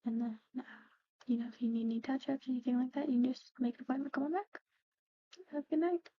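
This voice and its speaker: A woman doing an ASMR roleplay, speaking softly and in whispers, with a hesitant, halting, gently conversational manner. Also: high voice, no echo, muffled recording.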